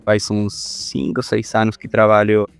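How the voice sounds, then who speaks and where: A man in his thirties from Argentina, a podcast guest speaking Portuguese with an Argentine Spanish accent, telling his story in a relaxed, rambling way. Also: on the low side, a little slow, creaky, smooth, faint music behind. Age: 30s. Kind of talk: casual interview talk.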